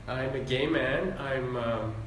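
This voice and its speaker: A man born in Iran and raised in Ohio, with a Midwestern accent, giving a relaxed, rambling interview answer full of fillers. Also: mid-range voice, slow pace, slight background noise. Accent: Midwestern American. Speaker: interview guest, doctor and psychologist. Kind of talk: casual conversational interview. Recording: very echoey room, mic at a distance.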